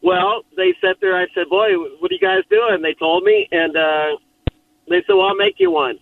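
A man phoning in to a radio show, telling a story casually and haltingly over a muffled call. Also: sits high, huge pitch swings, creaky, dry recording.

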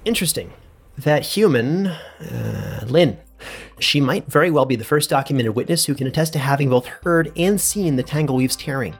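Scholarly researcher, higher-pitched, over music. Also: male voice, expressive, moderate pace, creaky. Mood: curious, musing. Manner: musing, formal, precise. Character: scholarly, methodical inventor-researcher, musing, curious. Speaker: researcher and narrator. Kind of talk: in-character audio log.

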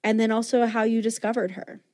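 A woman hosts an interview with lively enthusiasm. Her voice sits a little high. It sounds close and dry, with little room sound. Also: a little fast, no room sound. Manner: enthusiastic and bubbly. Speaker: podcast host. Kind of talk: casual interview conversation.

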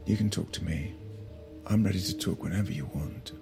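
An actor playing an earnest, frustrated husband, speaking in a strained, halting, naturalistic way in an audio drama. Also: male voice, low-pitched, gravelly, over music. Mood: awkward, conciliatory.

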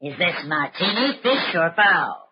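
An actress playing a curt old innkeeper's wife from colonial times in a radio drama, with archaic phrasing. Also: female voice, on the low side, very animated, measured pace. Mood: plain, businesslike. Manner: curt, archaic servant-like speech. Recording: muffled, noisy old radio recording.

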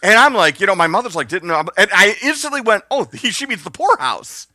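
A man telling stories on a casual podcast, his voice bright and sharp, with a lively pitch that swings up and down. He laughs often and acts out the people he quotes, and the sound is dry and close. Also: brisk, no echo. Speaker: podcast co-host. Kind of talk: casual storytelling chat.

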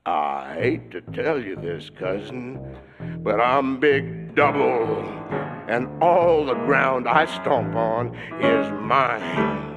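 A man voicing a huge, greedy, boastful male bear who talks in a slow, menacing drawl. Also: mid-range voice, creaky, in a large hall, over music, pitched below the performer's own voice.

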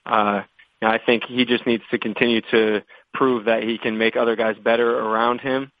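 A man's voice: medium pitch, level tone, moderate pace. American man on a tinny phone line. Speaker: basketball draft analyst. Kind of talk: media conference call question-and-answer. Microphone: telephone.

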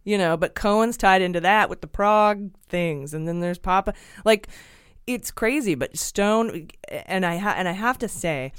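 A woman co-hosting a casual political podcast, sarcastic and quick to laugh, often restarting her sentences. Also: medium pitch, clean tone, no echo, clean studio sound. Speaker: podcast co-host.

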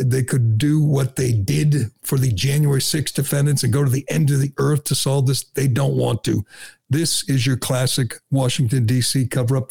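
A man's voice: mid-range voice, creaky, a little mellow, husky. A middle-aged man hosting a talk radio show, delivering a sarcastic, mocking monologue full of "uh" fillers and restarts.

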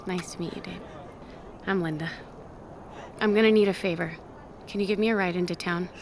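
A woman's voice: on the low side, steady tone, a little fast, noisy background, natural voice. A woman playing a level-headed, firm hospital nurse in a naturalistic audio drama, clipped and decisive. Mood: subdued, brisk.

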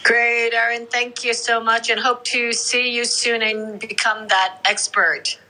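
Woman host, playful and teasing, very bright. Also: a little high, unhurried, poor audio. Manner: teasing, lively. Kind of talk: hosting an online audio chat.

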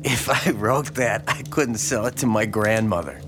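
A man's voice: lowish voice, normal speed, noisy background, lightly acted. An actor playing a lonely, timid small-town man with a hesitant, earnest manner in a radio horror drama. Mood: hesitant, awed.

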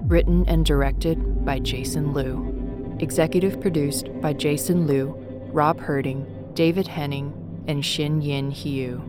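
Female credits announcer, very low register, scripted delivery, clear music bed underneath. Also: fairly even intonation, unhurried.

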